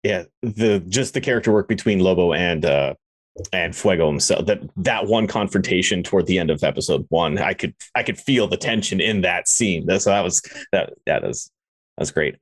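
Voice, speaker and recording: A man's voice: low voice, normal speed, no room sound. A man hosting a casual podcast interview, easygoing and appreciative of his guest.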